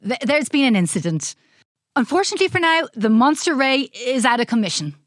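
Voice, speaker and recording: An eccentric old man of science , acted out by a woman for a children's story. The voice is high and leaps about in pitch, stammering and exclaiming with great excitement, recorded close and dry. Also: a little fast, no echo. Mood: flustered.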